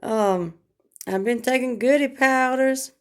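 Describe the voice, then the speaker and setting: A hoarse woman, chatting slowly and plainly about her week at home into a phone camera. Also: high-pitched. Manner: slow, homespun, rambling talk with regional grammar. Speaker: vlogger. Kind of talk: casual home vlog chat.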